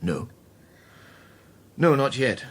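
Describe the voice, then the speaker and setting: An actor playing a quiet, perceptive middle-aged Scottish man in a radio drama, troubled and gentle, with a measured, understated delivery. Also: medium pitch, big pitch swings, breathy edge, full character voice. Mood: quiet, probing. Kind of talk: naturalistic radio drama acting.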